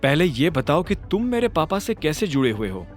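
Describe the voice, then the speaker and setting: A man's voice: fairly high pitch, sing-song, moderate pace, soft background music. Man playing a terse, cool young hero. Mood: probing.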